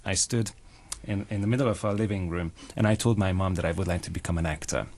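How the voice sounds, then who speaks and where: A man's voice: low-pitched, fast talker, darkish tone, a little hiss or hum. A man in his thirties from Hungary with a Hungarian accent, speaking earnestly and carefully as he tells personal stories as an interview guest. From Budapest, Hungary. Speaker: interview guest, actor and playwright. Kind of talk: personal storytelling in a radio interview.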